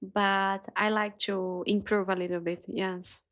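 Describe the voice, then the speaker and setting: Brazilian woman guest, mid-pitched, halting English, laughs a lot. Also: little pitch movement, fairly bright tone. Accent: Brazilian Portuguese, São Paulo. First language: Portuguese. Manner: halting non-native English, laughs often. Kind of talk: casual chat.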